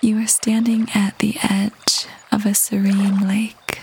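A woman leading a guided meditation in a soft, breathy half-whisper, gentle and calm with long pauses. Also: medium pitch, a little hiss or hum. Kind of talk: guided meditation, slow soothing narration. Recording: voice over prominent background music. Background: meditation music.

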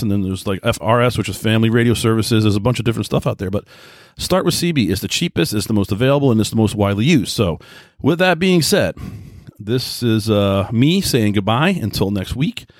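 A man's voice: mid-range voice, dry recording, clean studio sound. A man hosting a casual solo podcast, talking in a rambling, informal, conversational way. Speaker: podcast host. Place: indoors at home by a computer.

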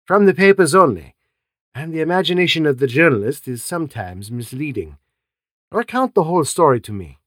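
A man's voice: fairly high pitch, very animated, fairly quick, fairly bright tone, no echo, studio quality. A man putting on a fussy detective. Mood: brisk. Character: fussy, vain Belgian male detective, middle-aged.

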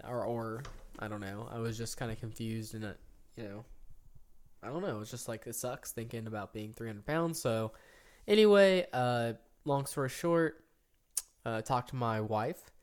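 A man around thirty, co-hosting a casual chat podcast with a middle-range voice. He talks at length, filling pauses with ums and uhs and restarting sentences. Now and then he lifts into lively, excited bursts. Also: medium pitch, smooth. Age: 30s. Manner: rambling, lots of ums and restarts, animated bursts. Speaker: podcast co-host.

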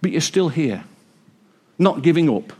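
Croaky preacher, lively pitch. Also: male voice, unhurried. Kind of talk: sermon. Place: church hall with congregation. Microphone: wireless mic.

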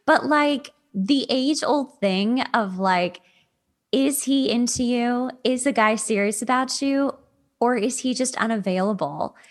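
A woman's voice: fairly high pitch, unhurried. A woman hosting a podcast in a casual, conversational chat style, animatedly acting out imagined remarks as she talks. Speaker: podcast host.

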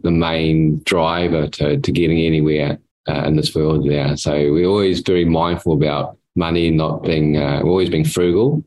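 A man in his sixties from New Zealand with a New Zealand accent, a dentist speaking as a guest in a casual interview. He talks haltingly, repeating words and often ending his phrases with "there". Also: low-pitched, darkish tone, rough recording. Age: 60s.

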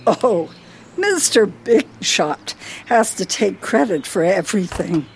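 Lady playing sassy elderly wife in radio play, slow and very expressive, teasing, bit of background noise. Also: mid-range voice, vocal fry, heavily acted. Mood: playful, defiant. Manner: teasing, needling, theatrical. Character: feisty elderly wife, teasing, chatty homemaker and hostess.

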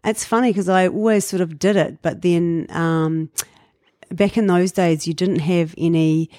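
A New Zealand woman in her fifties, telling her life story in a relaxed interview, reflective with frequent fillers and light laughs. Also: lowish voice, a little slow, dry recording, studio quality. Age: 50s. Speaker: guest. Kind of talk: casual interview chat.

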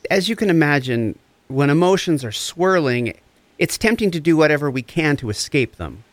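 A man's voice: fairly high pitch, animated, moderate pace, no echo, lightly acted. A man acting the part of a compassionate recovery coach in an audio drama, tender, soothing and earnest. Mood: encouraging, instructive. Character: compassionate, gentle, encouraging recovery coach and friend.

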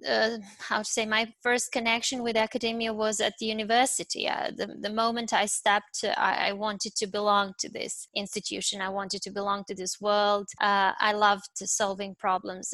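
A woman's voice: medium pitch, little pitch movement, moderate pace, vocal fry, few pauses, fairly bright tone, no room sound. A middle-aged woman with a Serbian accent, giving reflective interview answers and often searching for her words. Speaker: interview guest, university professor.

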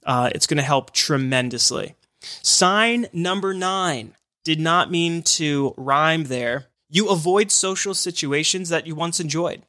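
A man's voice: on the high side, unhurried, pushed and bright, no echo, studio quality. Earnest man explaining hearing health. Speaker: hearing care professional. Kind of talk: educational podcast talk.

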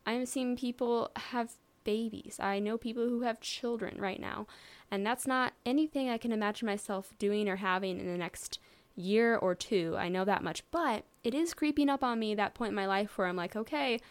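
A woman in her mid-twenties talks on her own podcast in a loose, rambling way. She speaks at an everyday middle pitch and fills her talk with likes and you knows. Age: 20s. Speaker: podcast host. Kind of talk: casual solo chat.

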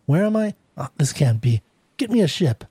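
A man's voice: a little high, lively intonation, normal speed, mellow, slightly rough, no echo. A man voicing a frantic, grieving young wife in a storybook reading, pleading and insistent. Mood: anguished, desperate.

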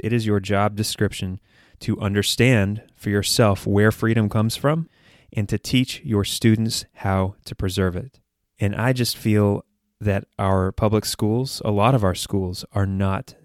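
A man's voice: fairly low pitch, fairly even intonation, measured pace, a little mellow, no room sound, studio quality. An American man hosting a podcast, giving earnest, conversational solo commentary with hesitant phrasing. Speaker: podcast host.

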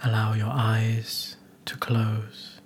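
A man narrating a guided meditation in a soothing, gentle, hushed manner with soft, breathy phrase endings. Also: lowish voice, monotone, drawn-out words, slight background noise.

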